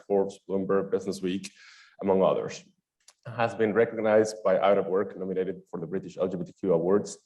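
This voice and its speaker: A young man moderating a conference panel, reading out guest introductions in a chatty, lively way with small laughs. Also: low-pitched, poor audio.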